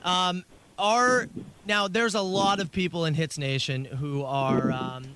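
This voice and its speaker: A woman's voice: on the low side, fairly even intonation, takes it slowly, fairly bright tone. A woman bantering casually as a co-host in a radio interview. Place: radio studio.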